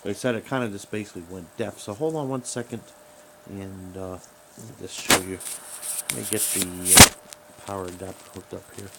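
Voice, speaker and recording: A man talking casually and haltingly as he rambles through a hobbyist's gadget troubleshooting demonstration. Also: low voice, fairly even intonation. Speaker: radio hobbyist. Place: small room at home, indoors. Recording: noisy home recording with background hiss.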